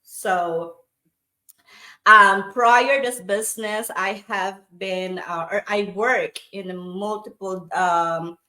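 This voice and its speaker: A woman with a Filipino accent hosting a casual chat, speaking haltingly as she searches for words. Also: medium pitch, fairly even intonation, pushed and bright, low-quality recording. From Philippines. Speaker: host, online business owner.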